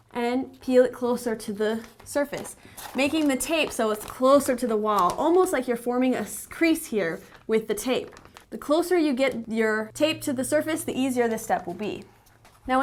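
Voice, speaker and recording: Lady with a slightly high voice walking you through a tutorial step by step. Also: a little hiss or hum. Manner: instructional, step-by-step. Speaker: instructor, company representative. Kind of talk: how-to tutorial narration.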